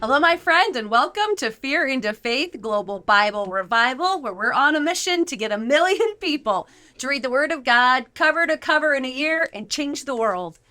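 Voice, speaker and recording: A woman, probably middle-aged, hosting an interview in an excitable, encouraging, upbeat way. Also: sits high, moderate pace, hardly any pauses. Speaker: show host interviewing her children.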